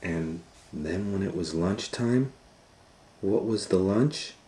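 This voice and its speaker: A man reading a children's storybook aloud as host, in an animated storytelling style. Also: sits low, slow. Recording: roomy echo.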